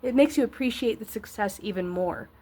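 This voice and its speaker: High-voiced woman, slightly scratchy and congested. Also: brisk, dark tone, breathy edge. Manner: rambling, searching for words, encouraging. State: congested nose, getting over an illness. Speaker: tarot reader and host. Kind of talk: casual spoken tarot reading.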